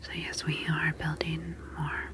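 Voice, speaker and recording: A woman playing a gentle, reassuring humanoid ocean alien in a soothing, formally phrased ASMR sci-fi roleplay. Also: deep voice, completely flat delivery, unhurried. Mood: calm, explanatory.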